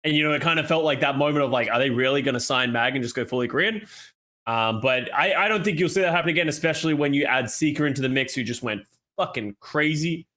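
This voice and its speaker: A man with a medium-pitched voice talks as a podcast panelist. He analyses esports matches in a casual, conversational style. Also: fast talker, sharp tone. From Australia. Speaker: esports podcast panelist, former pro player.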